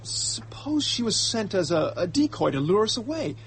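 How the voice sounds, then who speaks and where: A man's voice: high voice, sing-song, normal speed, creaky, a little hiss or hum, heavily acted. An actor playing an earnest, worried young man caught up in a mystery in a radio drama, speaking with urgency. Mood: suspicious, reasoning.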